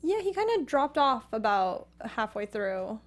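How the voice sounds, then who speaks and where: A woman's voice: a little high, big pitch swings, a little slow. A woman co-hosting a casual panel discussion, speaking in an agreeable, supportive way. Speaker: co-host of a film review show.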